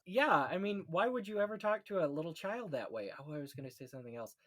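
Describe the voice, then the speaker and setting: A man's voice: high voice, lively intonation, fast talker. A man hosting a casual film-history discussion, talking in an effusive, opinionated way full of anecdotes. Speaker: podcast host on classic Hollywood films.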